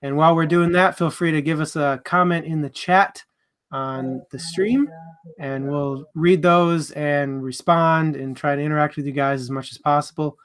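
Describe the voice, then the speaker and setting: A man's voice: on the high side, fairly even intonation, normal speed, fairly bright tone, smooth. A man co-hosting a live book discussion stream, talking casually and in a friendly, informal way to viewers.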